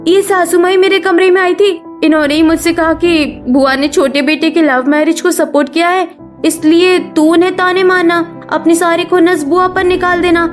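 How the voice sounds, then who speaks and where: A woman's voice: very high voice, fairly even intonation, moderate pace, soft background music. A woman playing a spoiled, haughty young daughter-in-law in a cartoon family melodrama, snappish and defensive. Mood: defensive, outraged.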